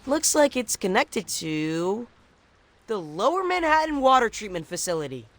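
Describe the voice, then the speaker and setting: An actor playing a nerdy, earnest teenage mutant turtle boy, wordy and animated, in a video game cartoon. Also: sits high, moderate pace. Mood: geeky interest.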